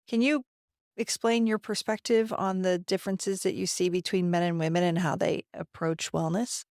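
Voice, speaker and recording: A woman's voice: on the low side, steady tone, moderate pace, a little mellow, no room sound, studio quality. A woman hosting a podcast, reading a polished intro and asking interview questions. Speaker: podcast host.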